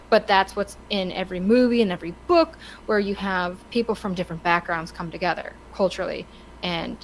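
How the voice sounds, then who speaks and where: Woman on a podcast talking casual and opinionated, stumbles and restarts a lot, normal mid pitch. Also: a little hiss or hum, poor audio. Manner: halting, stammers and restarts often. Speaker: podcast co-host.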